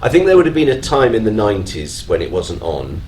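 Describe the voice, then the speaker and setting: Man, low voice, earnest and emphatic panel guest, noisy live event recording. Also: big pitch swings, a little fast, darkish tone. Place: on stage at a fan convention.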